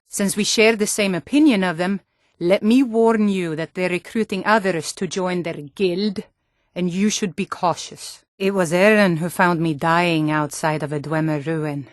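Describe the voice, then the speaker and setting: Woman acting stern, low-voiced Nord warrior woman. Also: moderate pace, dry recording, clean studio sound, close to an everyday voice. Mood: earnest, resolute. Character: stern, earnest Nord warrior women and town guards in fantasy Skyrim. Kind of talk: video game character dialogue.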